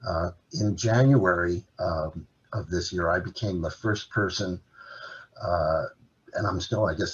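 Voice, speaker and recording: A man's voice: deep voice, highs cut off. A man, a native Dutch speaker, presenting his work as an author and translator in a casual talk, listing items with many filler uhs. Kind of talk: casual presentation at an online library event.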